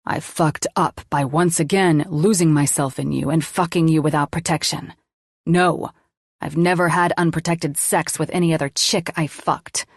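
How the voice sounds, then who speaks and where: A woman voicing a heated, wounded young male doctor who growls and swears in a lowered voice. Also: fairly even intonation, moderate pace, no room sound, studio quality. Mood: furious.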